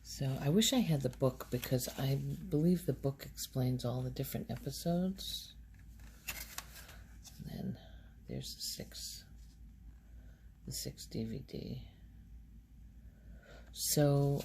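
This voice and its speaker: A soft-spoken woman recording casual ASMR, rambling in a hushed voice and musing aloud as she goes. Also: a bass voice, vocal fry, slight background noise. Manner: hesitant, musing aloud, trailing off. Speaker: ASMR video creator.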